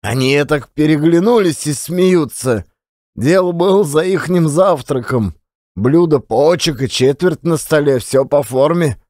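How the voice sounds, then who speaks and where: Male actor as garrulous folksy storyteller, self-deprecating, rambling, slightly high voice, audio play. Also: animated, unhurried, darkish tone, dry recording, studio quality. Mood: animated recounting. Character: garrulous folksy old-time Russian storyteller, short man, self-deprecating.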